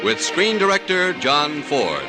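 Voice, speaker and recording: A man's voice: on the high side, sing-song, measured pace. A man announcing a radio program in a grand 1940s broadcast style. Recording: vintage radio broadcast with loud brass music.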